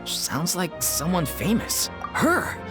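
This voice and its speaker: Earnest, animated theater troupe member. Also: male voice, high-pitched, takes it slowly, heavily acted. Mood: earnest, admiring. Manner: earnest, hesitant, deferential. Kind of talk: anime video game voice acting. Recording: game music behind. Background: game music.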